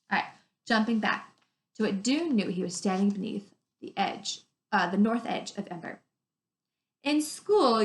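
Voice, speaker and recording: A woman teacher reading a story to children in an animated, emphatic way, adding her own commentary. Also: medium pitch. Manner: animated, emphatic, stretches descriptive words. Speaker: teacher reading aloud to pupils. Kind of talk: expressive storybook read-aloud with commentary to children. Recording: echoey room sound.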